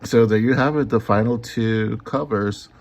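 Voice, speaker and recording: A man talking casually in a vlog about his comics and live streams, unhurried, with many ums. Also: on the low side, creaky. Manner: unhurried, lots of ums and filler. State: a little hoarse. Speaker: comic artist and YouTuber.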